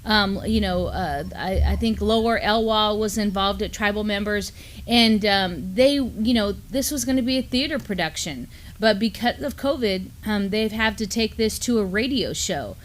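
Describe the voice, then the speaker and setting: A middle-aged woman co-hosts a live news show from a small studio desk. She speaks casually and warmly paced, peppering her talk with "you know" and "um", at an ordinary pitch for a woman. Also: moderate pace. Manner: easygoing, many "you know" fillers. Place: studio with mottled backdrop, at a desk.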